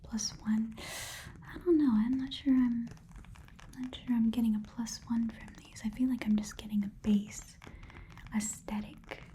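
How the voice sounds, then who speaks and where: A woman voicing a chatty, haggling goblin pawn shop keeper in a breathy whispered ASMR roleplay, with casual muttered asides. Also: a little high, steady tone, unhurried, dark tone, slight background noise, natural voice. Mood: appraising, doubtful.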